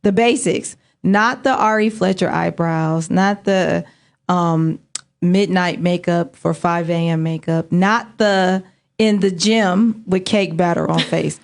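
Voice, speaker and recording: A woman's voice: fairly low pitch, steady tone, slow pace. A woman hosting a casual beauty talk show indoors, joking and laughing with loose filler-heavy speech. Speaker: host. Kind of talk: casual chat. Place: indoor set, table of guests.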